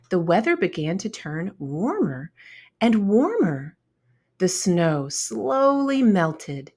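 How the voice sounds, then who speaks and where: A woman narrates a children's storybook in a mid-range voice. She takes her time and shapes each phrase with animated, expressive intonation. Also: medium pitch.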